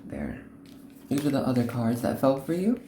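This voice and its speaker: Female card reader, very deep and mellow, earnest and instructive, halting short phrases in a roomy space. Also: wildly swooping pitch, slight background noise. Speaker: host, tarot card reader. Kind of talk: unscripted tarot reading addressed to the viewer.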